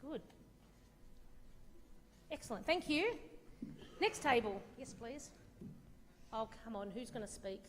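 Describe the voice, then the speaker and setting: A woman's voice: a little high, animated, a little hiss or hum. Encouraging Australian woman running a workshop. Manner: encouraging, quick praise of each answer. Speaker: workshop facilitator. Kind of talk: facilitating a group discussion. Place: large conference hall. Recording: reverberant hall recording.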